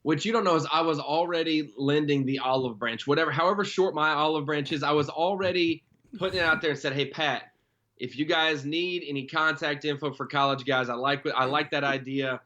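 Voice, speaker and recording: A man's voice: on the high side, steady tone, a little fast, bright, forceful tone. Young male guest, rambling and chatty, dull lo-fi sound. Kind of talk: casual chat.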